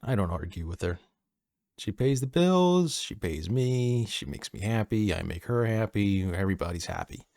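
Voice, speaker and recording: A man playing a friendly, easygoing personal assistant at a manor in an audio roleplay, soft-spoken, casual and a little hesitant. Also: lowish voice, lively intonation, moderate pace, no room sound, studio quality. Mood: earnest, agreeable.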